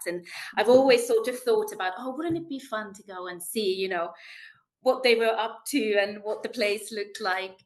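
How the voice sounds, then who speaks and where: A woman's voice: medium pitch, rough recording. A woman from Finland speaking as a podcast guest, friendly and thoughtful with some repeated words. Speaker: guest, ESG manager. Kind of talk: conversational podcast interview.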